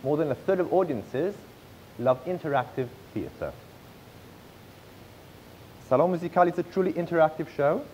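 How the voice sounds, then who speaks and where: A man in his thirties presenting a business pitch from a script on stage, with occasional slips and restarts. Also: expressive, normal speed, long pauses, darkish tone, a little hiss or hum, rough recording. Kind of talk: read-aloud business pitch. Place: large theatre stage.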